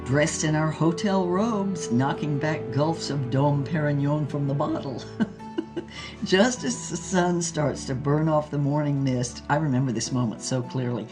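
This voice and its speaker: A woman's voice: low voice, measured pace, music in the background. A woman playing a brassy, wry former actress who tells her scandalous memoirs theatrically in an audio drama. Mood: wry, nostalgic. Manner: wry, theatrical storytelling with chuckles. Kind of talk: scripted audio drama narration.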